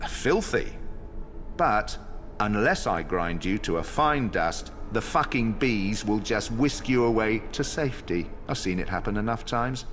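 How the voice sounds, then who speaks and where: Slow, deep-voiced trickster god villain. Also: male voice, a bit bright, a little hiss or hum. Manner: sardonic, savoring each word, self-satisfied. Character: banished trickster god villain, smug, theatrical, gloating, ancient. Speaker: video game villain in story cutscenes. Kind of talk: theatrical dramatic monologue.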